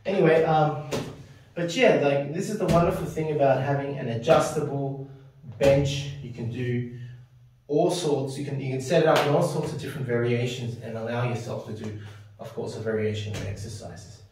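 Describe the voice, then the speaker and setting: A man's voice: medium pitch, fairly even intonation, moderate pace, a little mellow, slight background noise. Chatty man in an echoey home gym. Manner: chatty, rambling, tags sentences with "right?". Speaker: fitness video host. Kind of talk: casual equipment walkthrough. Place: small home gym with power rack, indoors. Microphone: camera mic from a distance. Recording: very echoey room, camera far off.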